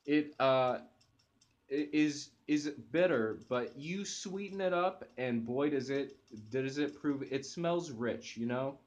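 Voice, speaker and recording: Young male host, unhurried casual product review, mid-range voice, lots of restarts, filmed on a webcam from a sofa. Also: mid-range voice. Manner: enthusiastic, hesitant restarts and fillers. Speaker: channel host reviewing coffee. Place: living room, on a sofa indoors. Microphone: webcam at close range.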